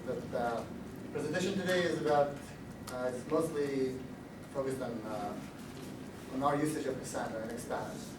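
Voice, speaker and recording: A man with a Romanian accent giving a technical conference talk, halting with many fillers and restarts. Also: medium pitch. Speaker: conference speaker. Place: large echoey conference room. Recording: echoey room audio, distant mic, background noise.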